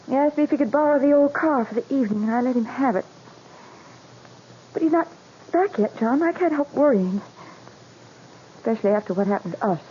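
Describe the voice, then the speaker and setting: A dull, slightly noisy vintage radio recording holds a doctor's wife in middle age. Her voice sits fairly high, earnest and pleading, as a fearful mother who will not let go, in melodramatic 1940s style. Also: expressive, a little fast, pauses often, dark tone. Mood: worried. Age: middle-aged.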